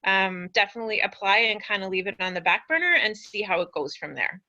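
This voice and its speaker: A woman's voice: mid-range voice, expressive, a little fast, sharp tone. A woman giving casual, unscripted answers on a panel. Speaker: air traffic controller, panelist. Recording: dull lo-fi webinar audio.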